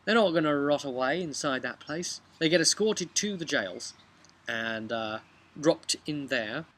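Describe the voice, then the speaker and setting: A man in his thirties talking casually to camera as a game master, conversational and a little halting, thinking aloud as he goes. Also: a little high, animated, a bit bright. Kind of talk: casual vlog recap of a role-playing session. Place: outdoors on a bench beside a brick house.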